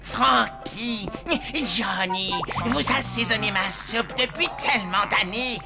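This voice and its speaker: A woman's voice: mid-range voice, slow pace, creaky, music in the background. A woman voicing a proud, fussy monster-hotel chef who talks in a theatrical, indignant, sing-song way.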